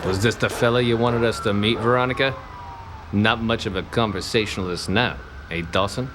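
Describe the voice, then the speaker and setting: An actor playing a wisecracking, hard-boiled 1940s detective with clipped, gruff, deadpan delivery. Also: male voice, lowish voice, a little fast, roomy sound, noisy background, natural voice. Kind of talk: 1940s-style radio play acting.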